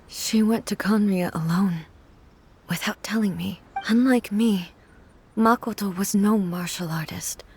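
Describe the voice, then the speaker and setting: A woman voicing a solemn, grieving female immortal ruler in a video game, with soft, breathy, formal and measured speech. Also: mid-range voice, slight background noise, natural voice. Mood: sorrowful, hushed.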